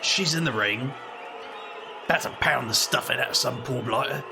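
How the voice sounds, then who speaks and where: A man playing a casual, loyal ally of supernatural outcasts in an audio drama, conversational and a little hesitant, with a creaky voice. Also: mid-range voice, moderate pace, over music, crowd noise, lightly acted. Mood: excited.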